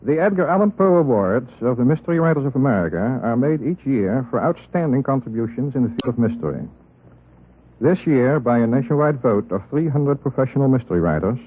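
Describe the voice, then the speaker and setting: Male presenter, formal speech with lively intonation, medium pitch, slightly husky, on thin old radio audio. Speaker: association president presenting an award. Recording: tinny vintage radio recording.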